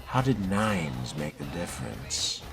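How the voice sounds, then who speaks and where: A man playing a newly turned vampire in a video game role-play, guarded and terse, with a measured, questioning manner. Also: low voice, sing-song, creaky, raised voice, music in the background, full character voice.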